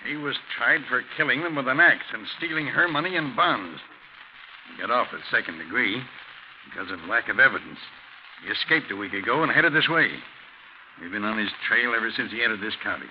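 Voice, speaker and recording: An actor playing a gruff, matter-of-fact American police sergeant in a brisk 1940s radio style. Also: male voice, mid-range voice, lightly acted. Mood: grave, matter-of-fact. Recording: muffled, hissy 1940s radio recording.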